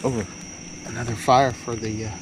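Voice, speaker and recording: A man talking casually and haltingly as he hosts an outdoor camping vlog, with a laid-back, folksy manner. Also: mid-range voice, level tone. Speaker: vlog host. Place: outdoors at a state park and campsite. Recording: noisy outdoor recording with insects.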